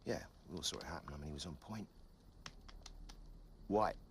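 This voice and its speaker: An actor playing a foul-mouthed soldier who tells a gruesome war story in a low, hushed voice with dark humour. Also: male voice, expressive, moderate pace, slight background noise. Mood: grim. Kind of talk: film dialogue. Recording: film soundtrack with a music score behind.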